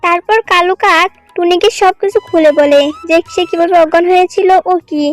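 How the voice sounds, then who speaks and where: Female narrator for a children's cartoon tale, extremely high voice, dry sound with music underneath. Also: fairly even intonation, normal speed.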